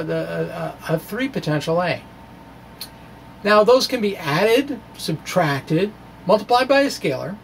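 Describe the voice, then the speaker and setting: Quirky, halting man over room noise. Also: sits high, low-quality recording. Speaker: physics video blogger. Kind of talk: informal explanatory monologue, dramatic reading of own blog. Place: small room with bookshelves. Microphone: handheld-style microphone on stand in front of him. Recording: clear background room noise.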